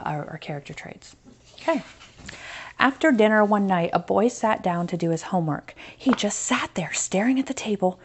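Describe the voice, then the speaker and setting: A woman with an American accent reads a children's story aloud as a teacher. Her voice sits slightly low, and she moves between narration and explanatory asides that stress key points. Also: a little hiss or hum.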